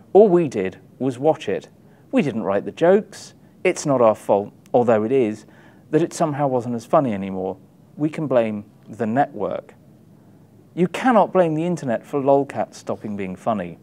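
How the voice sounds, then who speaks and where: A man in his thirties giving an expressive, wry reading from his book, with emphatic delivery and dramatic pauses. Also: mid-range voice. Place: small indoor room, publisher's backdrop. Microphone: lapel mic.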